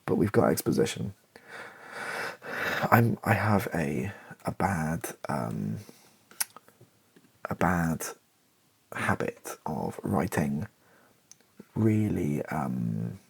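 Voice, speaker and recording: British male, low-pitched and gravelly, halting mutter for comedic ASMR, keyboard typing behind him. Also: big pitch swings. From Britain. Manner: halting, muttering aloud as he writes. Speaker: host of a comedy ASMR channel. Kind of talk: ASMR, improvised comedy while typing a script. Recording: faint noise with keyboard clicks and typing. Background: computer keyboard typing.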